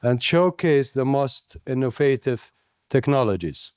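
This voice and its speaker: A man's voice: medium pitch, animated, darkish tone, smooth. Saudi-accented man reading a formal keynote. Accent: Saudi Arabian. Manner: measured, reading from notes with deliberate pauses. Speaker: keynote speaker. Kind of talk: formal prepared speech. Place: conference stage, indoors. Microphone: handheld microphone on a stand close to the mouth.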